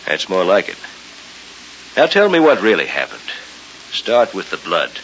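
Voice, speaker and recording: An actor playing a sceptical, paternal middle-aged family doctor, probing and authoritative, in old-time radio mystery style. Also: male voice, low voice, wildly swooping pitch, measured pace, a bit bright, exaggerated voice. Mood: challenging, insistent. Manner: probing, authoritative, clinical, emphatic. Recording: hissy, dull old radio recording.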